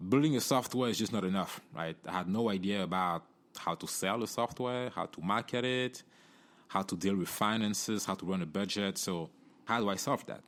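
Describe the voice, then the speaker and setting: A man's voice: sits low, fairly bright tone. A middle-aged man from Cameroon, hosting a solo podcast in an earnest, conversational way, often tagging "you know" and "right?". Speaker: podcast host.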